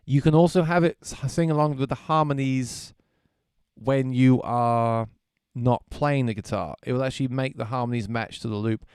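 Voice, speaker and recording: A man's voice: medium pitch, steady tone, moderate pace, a little mellow, smooth, no room sound, clean studio sound. Chatty man demoing music gear. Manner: chatty, repeats words while thinking aloud. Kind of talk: casual livestream gear demo. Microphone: vocal mic through effects unit.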